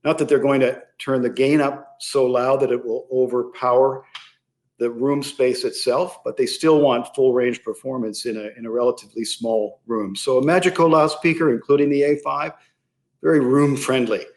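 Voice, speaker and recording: A man with a mid-pitched voice gives explanatory product talk as an interview guest. His delivery is measured, and he often pauses partway through a sentence to find the next phrase. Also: steady tone. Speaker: interview guest, loudspeaker company sales executive.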